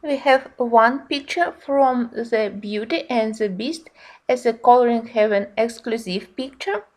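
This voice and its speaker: A woman giving a casual review narration in simple, slightly halting non-native phrasing. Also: a little high. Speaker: colouring book reviewer.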